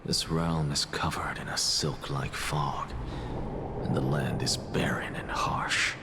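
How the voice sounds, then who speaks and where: A man playing a brooding, theatrical scholar who narrates a dream in a fantasy game, with deliberate, dramatic delivery. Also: extremely low pitch, steady tone, whispered, full character voice. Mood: musing. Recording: loud music behind the voice.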